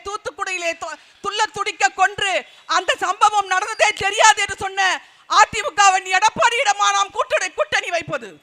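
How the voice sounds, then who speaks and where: A man's voice: extremely high pitch, sharp tone. A man giving a fiery political rally speech as a party speaker, stringing together rhetorical questions. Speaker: party speaker at a public meeting.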